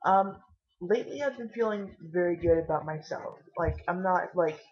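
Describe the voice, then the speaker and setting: A tired, caffeinated woman vlogging casually with a low voice, rambling and swearing now and then. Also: normal speed, dull audio.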